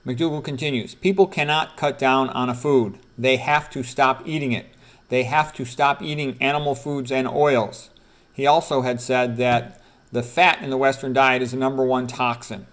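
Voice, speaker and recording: A man's voice: medium pitch, steady tone, normal speed, fairly bright tone. A middle-aged or older man, a doctor, reading quotes aloud with informal commentary and repeating key phrases for emphasis. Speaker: doctor presenting quotes from a book.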